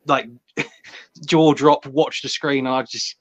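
A male podcast panelist talks in an informal, slangy way. His voice has a mid-range pitch, and the recording is dull and lo-fi. The delivery is conversational. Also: steady tone, slow. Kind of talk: casual chat.

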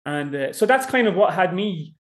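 A man's voice: high-pitched, big pitch swings, moderate pace. A man with an Irish accent, a podcast guest talking casually and rambling, with lots of "you know" and "like". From Ireland. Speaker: podcast guest, fishing guide. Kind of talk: casual interview chat.

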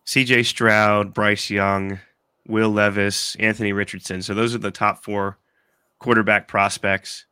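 Stuffy-nosed man, fairly low voice, casual sports pod host, rambling chat. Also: flat delivery, unhurried, bright, forceful tone, smooth, no room sound, clean studio sound. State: congested from allergies. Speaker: podcast host.

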